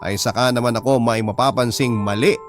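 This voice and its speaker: A man's voice: medium pitch, steady tone, a little fast, over loud music. A man narrating a radio drama, reading out a personal letter as a story.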